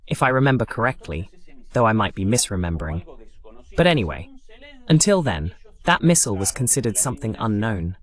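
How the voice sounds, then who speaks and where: Lively male political commentator. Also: mid-range voice. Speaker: host of political analysis broadcast. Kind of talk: political commentary, English voice-over of a live talk.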